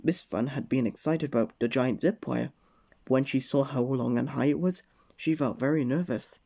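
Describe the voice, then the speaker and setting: A man reading a children's storybook aloud at bedtime, gently addressing the young listener. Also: medium pitch, moderate pace, gravelly.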